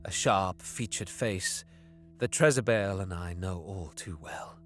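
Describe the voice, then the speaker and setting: An actor playing a bitter young alien prince, narrating an audio drama deliberately and sometimes dropping into hissed whispers. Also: male voice, low voice, soft background music. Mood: brooding.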